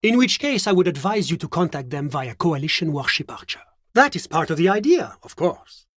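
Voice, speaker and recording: An actor playing a suave, evasive government bureaucrat in a video game, with a French accent and a formal, polite, measured delivery. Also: male voice, on the high side, sing-song, fairly bright tone, no room sound, studio quality. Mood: smooth, evasive. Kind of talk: scripted game character dialogue.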